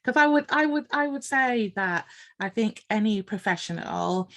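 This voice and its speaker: A woman's voice: mid-range voice, lively intonation, moderate pace. An older woman, a nurse hosting a podcast, asking questions in a thoughtful, hesitant way, thinking aloud as she goes. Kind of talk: conversational podcast interview.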